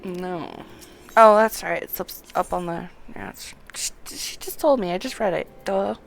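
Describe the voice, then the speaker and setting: A woman's voice: fairly low pitch, lively intonation, normal speed, vocal fry. A woman streaming a game, giving casual live commentary and thinking aloud in a self-mocking way.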